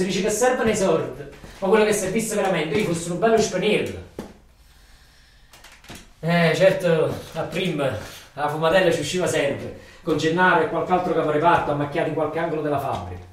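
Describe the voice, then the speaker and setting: A man's voice: a little high, animated, brisk. A man with a Neapolitan accent delivers a theatrical monologue in a colloquial, emphatic, repetitive storytelling manner. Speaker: stage monologue actor. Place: roomy interior, far from microphone. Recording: distant echoey room, faint noise.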